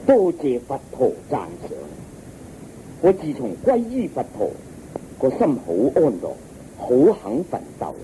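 A man's voice: mid-range voice, huge pitch swings, measured pace, warm tone, slight background noise, poor audio. An actor playing a devout, stern king with a stately, emphatic delivery in Hong Kong Cantonese radio drama. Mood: respectful, earnest. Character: devout, stern king, earnest and easily indignant.

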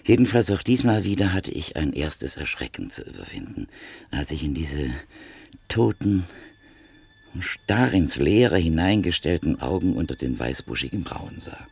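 Reflective art dealer narrator, gravelly, lively delivery, dry close mic. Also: male voice, rumbling low, no echo, full character voice. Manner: measured, thoughtful storytelling. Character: Berlin art dealer telling his visit, reflective. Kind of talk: literary radio narration.

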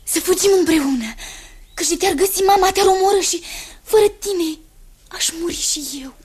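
Soft-spoken young maiden. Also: female voice, very high-pitched. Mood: tender. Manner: tender, hushed and urgent. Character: young maiden, the hag's gentle daughter. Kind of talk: 1960s radio drama acting.

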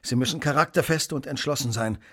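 An actor playing an incisive, self-assured detective in a German radio drama, eloquent and commanding, moving between hushed and emphatic delivery. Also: male voice, medium pitch, fast talker, dry recording, studio quality, close to an everyday voice. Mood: grave, urgent.

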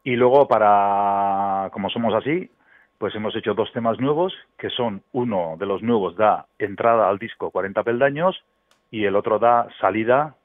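Low-voiced Basque man on a phone-like line. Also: dry recording. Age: middle-aged. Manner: halting, searches for words. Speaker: musician being interviewed. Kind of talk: casual interview talk. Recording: thin, phone-like band-limited line.

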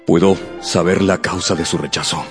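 An actor playing a proud, reserved gentleman suitor in a period romance radio drama, speaking formally and gravely. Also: sits low, steady tone, normal speed, soft background music, natural voice. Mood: offended, proud. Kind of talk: Spanish-language radio drama acting.

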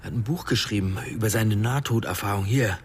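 An actor playing a sober, determined man helping with a mystery, thoughtful and low-key, in a radio drama. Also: brisk, slight background noise, natural voice. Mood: pensive.

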